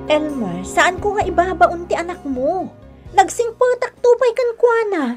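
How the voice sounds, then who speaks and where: A woman's voice: very high voice, very animated, moderate pace, loud music behind the voice. A woman playing an aggrieved, defensive young wife and stepmother in a radio drama, with a plaintive, emotional delivery. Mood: indignant. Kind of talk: Ilocano radio drama acting.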